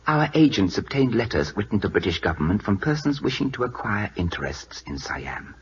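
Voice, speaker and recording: A man's voice: fairly low pitch, sing-song, brisk. An actor playing a proud, impatient king of Siam in a 1940s radio drama, with a put-on Siamese accent and clipped, formal broken English. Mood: indignant, commanding. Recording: dull lo-fi old radio recording.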